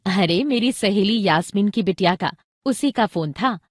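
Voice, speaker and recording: Practical, caring mother played by a female actor, educational drama, very animated instructive delivery, dry close recording. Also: medium pitch, normal speed, no room sound, close to an everyday voice. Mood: earnest, instructive. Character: practical, caring Indian mother explaining parenting to her husband. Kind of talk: scripted educational audio drama dialogue.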